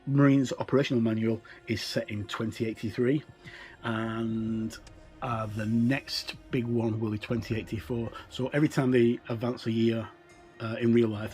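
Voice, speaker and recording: A middle-aged man giving a casual, halting, explanatory commentary as he looks through a tabletop game book. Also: medium pitch, a little mellow.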